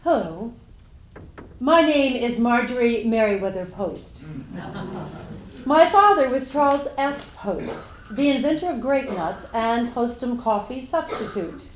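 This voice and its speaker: A woman acts out a composed, wealthy businesswoman heiress in a staged reading. She recites her life story in measured phrases with a fairly high voice. The sound is strongly roomy and echoey. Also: expressive. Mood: composed, matter-of-fact. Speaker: presenter in a dramatic reading. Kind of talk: first-person scripted historical monologue. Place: indoor room at a live event. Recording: roomy, echoey live hall recording.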